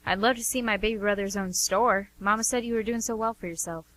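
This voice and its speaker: Woman acting a bright-voiced, nosy older sister. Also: mid-range voice, fairly even intonation, fast talker, natural voice. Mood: curious, proud. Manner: inquisitive, chatty, insistent. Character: doting, nosy older sister of a grown man. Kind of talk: amateur radio drama acting.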